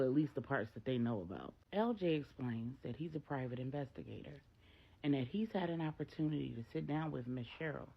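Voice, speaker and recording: Woman, storyteller, dramatic present-tense narration, deep register, raspy, dull lo-fi sound.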